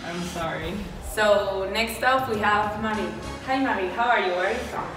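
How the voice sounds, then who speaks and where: Upbeat female interviewer, mid-pitched, long hall echo from a distance, music behind. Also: normal speed. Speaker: student playing a survey reporter. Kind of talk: scripted mock news interview.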